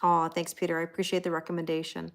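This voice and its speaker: A woman's voice: on the low side, monotone, fast. A middle-aged woman hosting a tarot livestream, chatting casually and thanking viewers by name.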